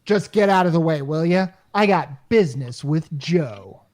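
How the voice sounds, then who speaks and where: Stammering, harried husband, acted out. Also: male voice, fairly high pitch, unhurried. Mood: resolute. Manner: stammering, excitable, slangy. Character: frantic, harried everyman repairman and husband. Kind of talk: 1950s radio comedy acting.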